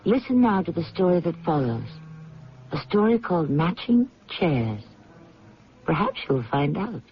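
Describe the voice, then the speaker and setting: A man's voice: a little high, big pitch swings. Ominous, swooping male radio mystery host. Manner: ominous, deliberate. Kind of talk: theatrical scripted radio narration. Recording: muffled, slightly noisy vintage radio broadcast.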